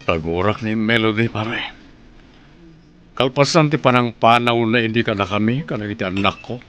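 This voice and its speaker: A man's voice: fairly low pitch, moderate pace, a bit bright, lightly acted. An actor with an Ilocano accent playing a heartbroken, devoted father, pleading melodramatically in a radio drama. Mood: anguished.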